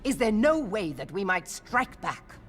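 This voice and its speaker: A woman's voice: mid-range voice, big pitch swings, a little slow, fairly bright tone, faint music behind. A woman playing a commanding, blunt admiral in a fantasy video game, speaking sternly and emphatically in salty, archaic phrases. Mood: angry, resolute. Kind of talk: scripted video game voice acting.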